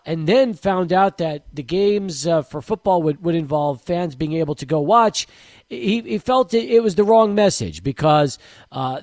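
A male sports radio host with a somewhat high voice, talking in a loose, rambling way and often repeating words as he gathers his thoughts. His voice is dry and close, with no room sound. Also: a little mellow, no echo. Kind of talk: casual radio interview.

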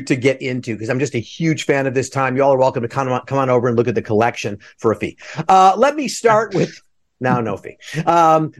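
A man hosting a podcast interview with enthusiasm and joking asides. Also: fairly high pitch. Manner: jokey asides. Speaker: podcast host.

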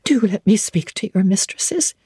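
A woman's voice: medium pitch, lively intonation, normal speed, dark tone, husky, dry recording, studio quality. A woman voicing a desperate, exhausted young woman wanderer, pleading and faltering as she speaks.

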